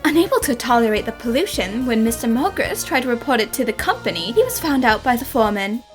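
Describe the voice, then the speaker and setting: A woman playing a confident young female detective in an animated fan dub, explaining a case with theatrical assurance. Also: a little high, quick pace, soft background music.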